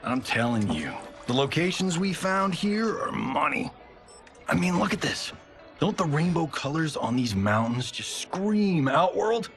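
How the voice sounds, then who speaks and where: A man's voice: fairly high pitch, big pitch swings, unhurried, fairly bright tone, faint music behind, heavily acted. A man acting a breezy, cocky film star turned director in a game cutscene, glib and casual. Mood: upbeat pitching.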